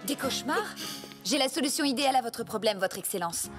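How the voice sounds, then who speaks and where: Woman as a high-voiced spirited cartoon girl. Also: animated, brisk, over music, lightly acted. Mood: earnest, pleading. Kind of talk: dubbed animated adventure acting.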